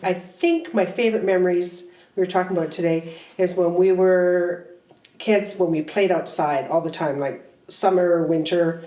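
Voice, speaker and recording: A woman's voice: lowish voice, normal speed, warm tone, highs cut off. A woman in her sixties from Ontario, Canada, recalling childhood and town life in an easygoing way. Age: 60s. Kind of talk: documentary interview. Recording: roomy sound from a distant mic.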